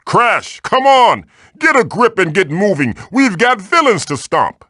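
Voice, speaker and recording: A man's voice: sits high, big pitch swings, unhurried, bright, forceful tone, dry recording, clean studio sound, heavily acted. A man voicing an old magical mask, a bossy, wisecracking mentor guiding the player in a video game with theatrical, wry delivery. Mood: urgent, impatient.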